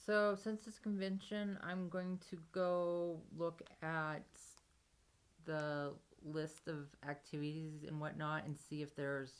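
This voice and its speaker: A woman with a strikingly low voice talks as a player in a tabletop game. Her delivery is casual table talk as she lays out plans. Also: level tone, slow pace, clean tone.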